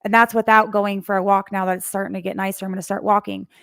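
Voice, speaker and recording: Gravelly-voiced woman hosting a podcast. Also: medium pitch, level tone, fast, no echo, studio quality. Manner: warmly encouraging, talkative, laughs easily. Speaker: podcast host. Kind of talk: casual podcast interview.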